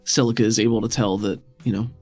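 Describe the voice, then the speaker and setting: A man's voice: lowish voice, fairly even intonation, fast talker, a little mellow. A man acting as game master, narrating and explaining rules casually during a tabletop game. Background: faint music.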